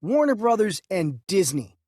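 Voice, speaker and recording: A man co-hosting a casual discussion, reasoning through his opinions in a halting way and repeating himself. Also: sits high, sing-song, a little mellow, no echo, studio quality. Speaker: co-host of a movie news show.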